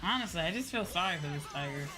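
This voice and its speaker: A woman giving casual, rambling, soft-hearted live commentary as she streams a video game, speaking into a close mic. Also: fairly low pitch, lively intonation, fast talker, over music.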